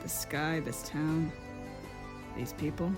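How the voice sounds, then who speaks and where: Courteous gentleman over clear music acted. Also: medium pitch, slow, natural voice. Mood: calm, courteous. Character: courteous, calmly authoritative gentleman creator of a painted world. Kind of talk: fan dub character acting.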